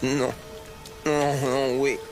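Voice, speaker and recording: An actor playing a terrified street thug begging for his life in a creaky, halting, pleading voice. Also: medium pitch, little pitch movement, over music. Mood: pleading terror. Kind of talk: cinematic game acting.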